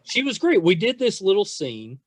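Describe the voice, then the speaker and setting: A man's voice: high-pitched, wildly swooping pitch, normal speed, dry recording. A middle-aged man hosting a casual chat interview, gushing and repeating himself for emphasis. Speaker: podcast host interviewing an actress.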